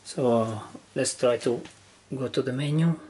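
A man giving a casual, halting step-by-step demonstration of equipment in short phrases, as a hobbyist. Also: medium pitch, dark tone. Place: indoor room with wooden surface. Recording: noisy handheld camera recording indoors.

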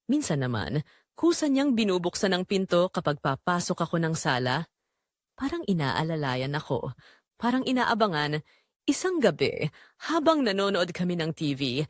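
A woman's voice: medium pitch, no room sound, clean studio sound. A woman reading scripted first-person narration for an audio drama, in the role of a middle-aged mother telling her story.

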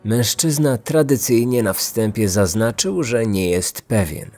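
Male true-crime podcast narrator, low voice, close dry recording over music. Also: expressive, measured pace, a little mellow. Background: background music.

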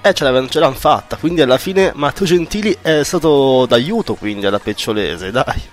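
A man's voice: medium pitch, expressive, a little fast, faint music behind. A man hosting a casual radio interview in an informal, encouraging, chatty way. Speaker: radio show host interviewing a guest.

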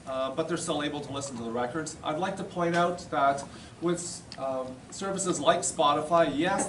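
A middle-aged man giving a plain-spoken, explanatory demonstration talk in an informal way. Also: a little high. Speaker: presenter at a society meeting. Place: classroom or lecture room, indoors. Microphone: far camera. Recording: roomy, distant, noisy camera recording.

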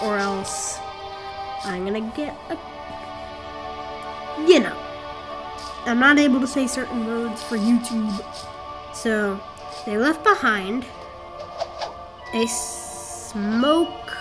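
Slow, rambling woman over game music. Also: fairly high pitch. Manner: rambling, self-correcting, instructive. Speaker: game walkthrough narrator. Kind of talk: casual game walkthrough commentary. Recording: game music playing behind the voice.

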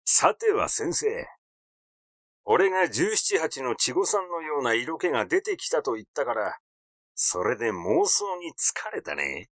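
A man's voice: mid-range voice, sing-song, unhurried, fairly bright tone, no room sound, clean studio sound. Man acting a cheeky, glib, needling fellow. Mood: mocking. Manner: colloquial, needling, brash. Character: glib, cheeky male acquaintance, teasing and eccentric. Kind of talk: audiobook character reading.

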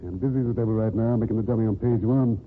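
An actor playing a tired, soft-hearted newspaper editor with a husky voice in an old radio drama. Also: male voice, fairly low pitch, fairly even intonation, rapid-fire. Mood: weary. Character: weary, decent newspaper editor man. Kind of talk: 1940s radio acting. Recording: tinny lo-fi old radio recording.

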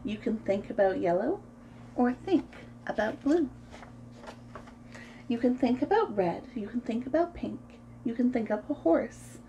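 A woman in her thirties reads to small children in a high, sing-song voice. Her pitch swoops up and down expressively, and her tone stays soft-edged and mellow. She sounds like the host of a preschool story time. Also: lively intonation, unhurried, vocal fry, dull audio, a little hiss or hum. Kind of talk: picture-book read-aloud for preschoolers. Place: small room at home, indoors.

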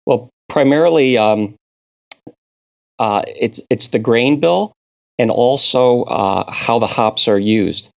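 A man from Connecticut, a brewing scientist, explaining technical details in an interview, halting with many fillers. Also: medium pitch, expressive, vocal fry. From Connecticut, New England. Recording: dull lo-fi sound.

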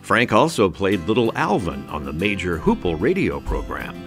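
A man's voice: low-pitched, huge pitch swings, normal speed, over music. A man reading scripted narration for a documentary.

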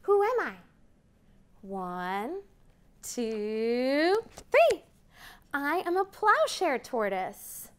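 A woman's voice: sits high, wildly swooping pitch, extremely slow, long pauses, clean tone. A woman in her thirties reading a picture book aloud to children in a library room, counting up with big rising calls. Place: library program room, indoors.

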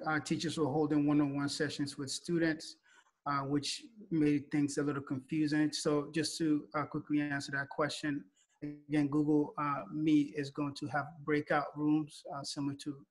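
A man with a halting, matter-of-fact manner, answering questions as a school staff member on a video call. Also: on the high side, monotone.

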